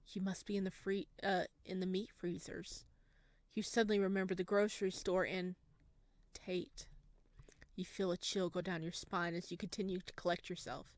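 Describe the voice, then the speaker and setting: A woman's voice: on the low side, normal speed, no room sound. A woman gaming YouTuber who reads a horror visual novel aloud expressively and then reacts to it. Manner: expressive, animated reader.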